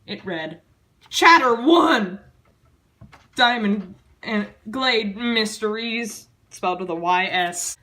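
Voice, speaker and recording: A woman's voice: mid-range voice, a bit bright. A woman reading a story aloud in a theatrical, mock-dramatic style, with casual, self-mocking, sardonic asides. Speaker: amateur storyteller reading own childhood writing.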